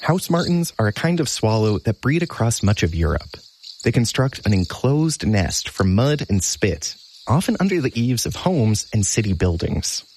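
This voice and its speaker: A man's voice: medium pitch, very animated, moderate pace, darkish tone, a little hiss or hum. A man narrating a short scripted radio segment about birds.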